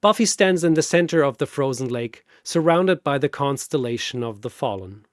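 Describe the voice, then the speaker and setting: A man narrating a scripted battle story in a theatrical, deliberate way, like a dramatic commentator. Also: medium pitch, animated, no echo, studio quality.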